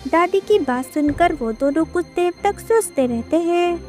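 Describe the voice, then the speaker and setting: Female narrator, very high childlike pitch, scripted children's storytelling, music underneath. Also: expressive, unhurried, dark tone. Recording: music behind the voice.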